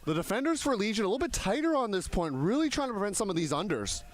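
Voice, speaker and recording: A man's voice: sits high, sing-song, fast talker. A man in his thirties giving live play-by-play sports commentary with casual banter. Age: 30s.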